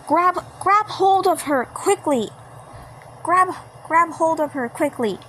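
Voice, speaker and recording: A woman's voice: extremely high pitch, lively intonation, slow pace, fairly bright tone, pitched above the performer's own voice, heavily acted, poor audio. A woman voicing sweet yet menacing candy creatures in a horror cartoon fan dub. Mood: commanding. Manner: sweet, disturbing, repeating. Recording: faint background noise.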